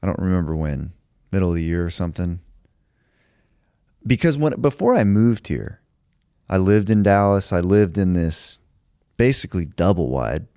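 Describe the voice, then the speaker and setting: A man who writes songs, talking in a relaxed podcast conversation, thinking aloud and reflecting at length with many filler words. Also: low voice, normal speed, long pauses, smooth, no room sound, clean studio sound. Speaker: songwriter.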